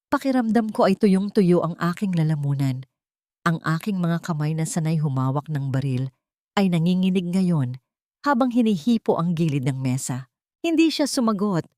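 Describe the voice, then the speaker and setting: Female Filipino audiobook narrator, lower-set voice with animated pitch, solemn and emotive scripted drama. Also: no room sound, clean studio sound.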